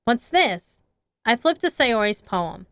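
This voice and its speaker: A young woman streamer reads a visual novel aloud in an expressive, earnest way into a close microphone. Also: fairly high pitch, takes it slowly, clear, resonant tone, no room sound.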